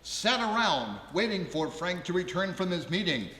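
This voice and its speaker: A man working as a radio host, narrating a live radio play with theatrical, deliberate delivery. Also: high voice, very animated, pushed and bright. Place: large ballroom, live stage. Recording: echoey ballroom with long reverb.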